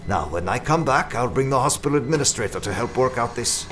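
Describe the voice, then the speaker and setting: An actor playing an officious, exasperated hospital doctor in an audio drama, formal and insistent. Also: male voice, mid-range voice, animated, fast, a bit bright, a little hiss or hum, lightly acted. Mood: strained, stern.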